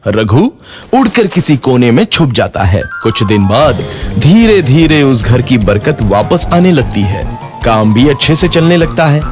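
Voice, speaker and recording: Lively male storybook narrator. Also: medium pitch.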